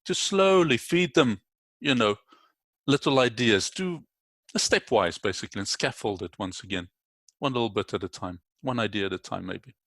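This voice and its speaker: Halting man, fillers everywhere, dry sound. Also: fairly low pitch, expressive, a bit bright, no echo. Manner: halting, many "you know" fillers. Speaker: webinar participant teacher. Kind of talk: casual contribution in discussion.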